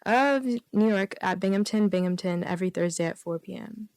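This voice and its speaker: A woman's voice: on the low side, fairly quick, no room sound. A woman guest on a radio comedy show, reading jokes aloud and bantering casually. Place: radio studio.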